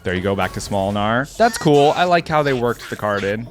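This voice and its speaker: A man gives casual reaction commentary. His voice sits at a middle pitch, and he muses aloud conversationally as he watches, with soft music in the background. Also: animated, moderate pace. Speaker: reaction video host.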